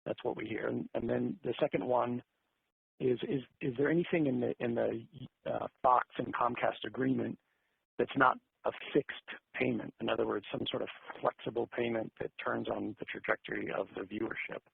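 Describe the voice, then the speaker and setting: Very raspy guy asking careful analyst questions on a conference call, dry phone sound. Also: medium pitch, steady tone, normal speed, no room sound. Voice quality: gravelly, husky. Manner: hesitant, hedging, conversational. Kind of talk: spontaneous business questioning. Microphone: telephone. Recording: muffled, narrow phone line.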